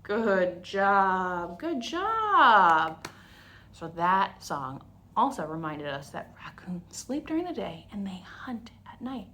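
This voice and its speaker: A woman's voice: medium pitch, sing-song, slow pace, bright, forceful tone. A woman hosting a story time for young children, explaining things in simple, instructional phrases.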